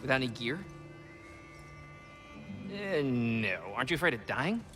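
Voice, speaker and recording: An actor playing a friendly, curious, excitable young refugee man in a video game, chatty and awkward, often stopping and starting. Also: medium pitch, measured pace, soft background music. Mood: curious, amazed. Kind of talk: naturalistic video game acting.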